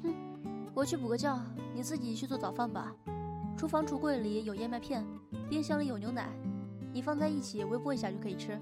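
A woman voice actor playing a caring, bossy young friend with a sharp tongue in an audio drama. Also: a little high, brisk, close to an everyday voice. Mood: playfully grumpy. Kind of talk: Chinese audio drama acting. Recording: prominent acoustic guitar music behind.

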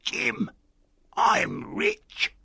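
A man voicing a half-crazy marooned old sailor in a storybook, with a strange, excitable, eager and wheedling way of talking. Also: a little high, creaky, breathy edge, no echo, pitched above the performer's own voice, full character voice. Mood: excited, secretive. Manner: strange-voiced, excitable, drawn-out emphatic phrases. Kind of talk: dramatised storybook reading.

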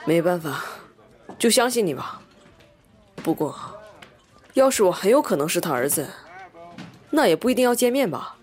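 A woman's voice: fairly low pitch, very animated, moderate pace, pauses often, a little mellow. A woman playing a guarded young man in an audio drama, bargaining in a wary, matter-of-fact way.

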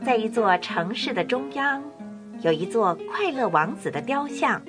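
A woman narrating a scripted children's story in an expressive, deliberate way. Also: on the high side. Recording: music behind the narration.

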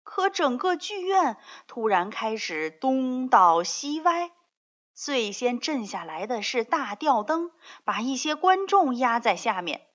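A woman narrates a children's fairy tale. Her voice sits fairly high and moves animatedly, at a slow, deliberate pace. She tells it expressively, as if to small listeners. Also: dull audio. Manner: expressive, enunciated storytelling to young listeners. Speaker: children's storyteller.

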